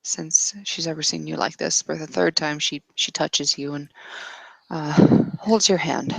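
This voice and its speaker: A woman improvising narration for a tabletop roleplaying game in a halting, tentative way. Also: low-pitched, fairly even intonation, gravelly, fairly bright tone, slightly rough, dry recording, poor audio. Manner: halting, tentative, piecing sentences together.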